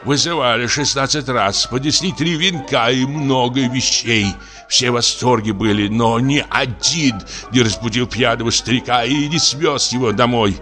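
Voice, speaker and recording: A man's voice: mid-range voice, normal speed, bright, forceful tone, pitched above the performer's own voice, lightly acted. A man voicing a drunken, lonely comic actor of fifty-eight, frightened and maudlin, lamenting in a feverish, breathless, theatrical way. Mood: wretched, weary. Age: 50s. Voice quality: breathless, trembling. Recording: music under the voice. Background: music.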